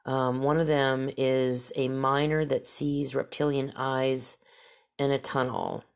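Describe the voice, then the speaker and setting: A woman's voice: rumbling low, flat delivery, slow, vocal fry, smooth. A woman hosting a talk show, whose stuffy, nasal voice rambles casually through stories and asides. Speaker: podcast host. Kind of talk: casual storytelling monologue.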